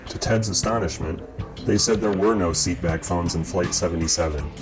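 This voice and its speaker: Deep-voiced man narrating over guitar music. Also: normal speed. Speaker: documentary narrator. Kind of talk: scripted narration.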